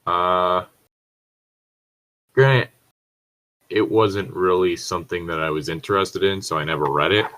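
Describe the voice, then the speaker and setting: A man's voice: deep voice, a little slow, pauses often, fairly bright tone, poor audio. A man chatting casually in a rambling way, swearing freely. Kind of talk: casual chat.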